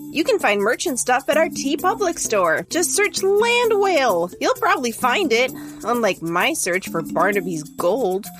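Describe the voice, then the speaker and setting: A woman playing a quirky, folksy announcer, reading scripted comedic credits for an audio drama. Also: a little high.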